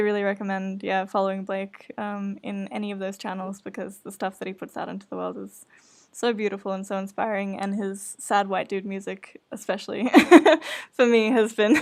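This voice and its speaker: A woman's voice: medium pitch, vocal fry. A woman podcast host chatting casually in an earnest, hesitant, self-conscious way. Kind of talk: casual chat.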